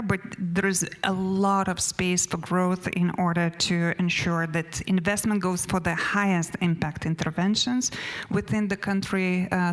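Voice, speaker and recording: A woman with a Lithuanian accent delivers a panel presentation in non-native English. Her voice sits low, and she pauses often with fillers while keeping to her notes. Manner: hesitant, many fillers and restarts, reading from notes. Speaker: panel speaker. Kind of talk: conference presentation.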